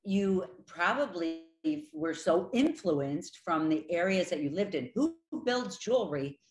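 A woman's voice: on the low side, expressive, unhurried. A middle-aged woman hosting a casual video call interview, talking with gushing enthusiasm. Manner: enthusiastic, gushing, gestures with hands. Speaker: interviewer and host. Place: living room at home, indoors. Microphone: laptop webcam video call. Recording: echoey room, faint background noise.

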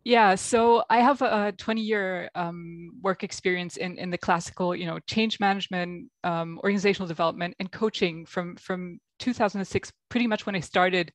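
A woman's voice: lowish voice, fairly even intonation, moderate pace, hardly any pauses, dry recording. A woman from Belgium with an American accent, a guest coach on a video call, talking reflectively with many ums. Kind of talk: interview over video call. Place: home room.

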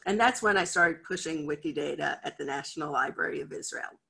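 A woman's voice: low-pitched, fairly quick, vocal fry, sharp tone, slightly rough. A middle-aged American woman, head of a library cataloguing department, giving a chatty, candid conference talk over a video call from her office. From United States. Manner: chatty, candid, acts out quoted voices. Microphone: video call webcam.

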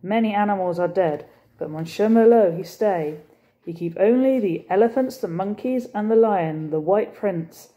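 A woman's voice: medium pitch, moderate pace, dark tone, lightly acted. A woman doing a mournful French cafe owner. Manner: broken English, mournful, emphatic. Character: middle-aged male French cafe owner, weary, sorrowful. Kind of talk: storybook character voice.

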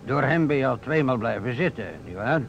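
A man's voice: medium pitch, fairly quick, close to an everyday voice. An actor playing a dry, probing police inspector, a man with a curt questioning manner, in an old radio play. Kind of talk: vintage Dutch radio play acting. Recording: dull, noisy old radio recording.

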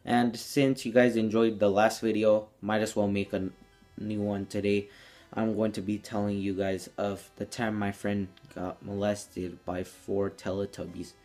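A man's voice: on the low side, flat delivery, measured pace, a little mellow, soft background music. A teenage young man telling a story casually to camera in a small room indoors, as a vlogger.

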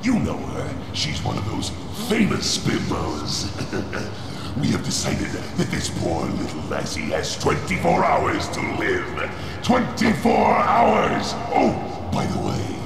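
A man's voice: a little high, wildly swooping pitch, echoey room, over music, heavily acted. A man voicing a gloating, manic alien supervillain monster in a video game, snarling and taunting theatrically. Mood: gloating, menacing. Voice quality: gravelly, snarling. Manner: taunting, drawn-out theatrical delivery with cackles. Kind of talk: video game villain acting.

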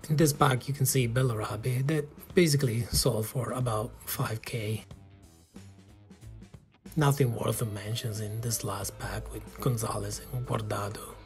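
A man in his thirties with an Italian accent, narrating his own football game footage in a calm, matter-of-fact play-by-play. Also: medium pitch. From Italy. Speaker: gaming channel host. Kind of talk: game commentary voice-over.

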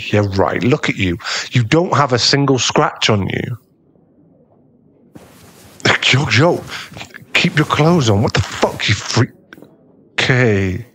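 A man acts out a heartbroken young male bully in an ASMR boyfriend roleplay. His voice is low and husky, and he speaks slowly. He is scornful and bitter, gruffly protective underneath. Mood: scornful, incredulous. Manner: aggrieved, swearing, scornful, dropping into breathy muttering.

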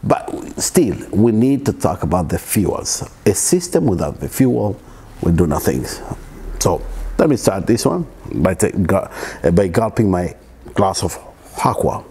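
Husky middle-aged man lecturing haltingly. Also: deep voice, sing-song, warm tone. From East Africa. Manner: halting, many restarts and fillers. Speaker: wellness presenter. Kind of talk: informal lecture. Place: living room at home, indoors.

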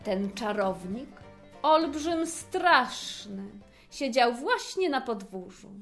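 A woman narrating a rhymed fairy tale in an expressive, theatrical storytelling manner. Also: fairly high pitch, slow, faint music behind.

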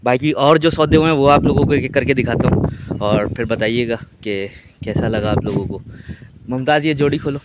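A man's voice: mid-range voice, a little fast, very noisy. A man with a Pakistani Urdu accent, chatting casually to his viewers while walking around a cattle farm outdoors, filmed on a phone. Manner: chatty, addresses viewers directly, many fillers. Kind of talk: casual vlog narration.

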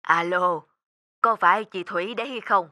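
A woman's voice: on the low side, moderate pace, noisy background. Elderly grandmother on the phone. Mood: polite. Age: older. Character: polite, unhurried elderly grandmother on the telephone.

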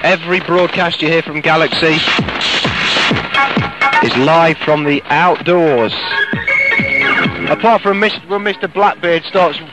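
A man's voice: a little high, fairly even intonation, a little slow, pauses often, poor audio. A British man presenting live radio in a chatty, informal, ad-libbed way, broadcast outdoors over shortwave. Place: outdoors in the countryside. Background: loud music.

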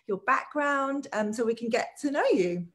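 A woman's voice: on the high side, lively intonation, moderate pace, fairly bright tone. A woman hosting a live video-call interview, upbeat with many fillers. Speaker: host. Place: home room.

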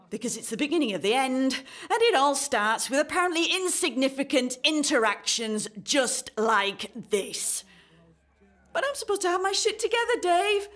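A high-voiced, sarcastic woman in her thirties. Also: a bit bright, exaggerated voice. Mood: frantic despair. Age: 30s. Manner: sarcastic, dramatic, emphatic. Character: jaded, anxious 35-year-old single woman unravelling at speed dating. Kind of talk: radio play acting.

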